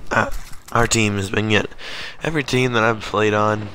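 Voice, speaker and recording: Man, hoarse failing voice, cracks and throat-clearing, low pitch, steady hiss behind. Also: normal speed. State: losing his voice, hoarse and strained. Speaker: gaming channel host. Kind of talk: casual voiceover over gameplay.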